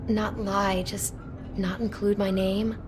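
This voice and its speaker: A woman playing an anxious young desk clerk in a video game drama. Her mid-pitched voice is hesitant and defensive, with a pleading edge, as if guarding secrets. Music sits clearly behind her. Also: level tone, slow, lightly acted. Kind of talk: naturalistic video game voice acting.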